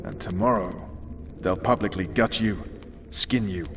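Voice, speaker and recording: An actor playing a hard, commanding special forces officer and interrogator in a video game, clipped and coolly threatening. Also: male voice, sits low, unhurried. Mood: menacing. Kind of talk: video game cutscene acting. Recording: clearly noisy background ambience.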